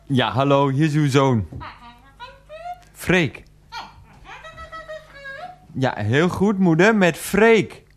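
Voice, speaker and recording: A man playing a grown son in a radio play, talking on the phone to his mother in a halting, protesting, placating way. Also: medium pitch, lively intonation. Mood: chatty, dutiful. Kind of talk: 1990s Dutch radio play, one-sided phone call.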